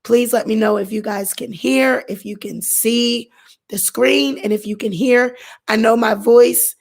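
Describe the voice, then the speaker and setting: Hoarse woman vlogger, slow gravelly delivery. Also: on the high side, fairly even intonation. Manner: chatty, frequent "you know" and "um" fillers. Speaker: channel host. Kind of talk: casual commentary vlog.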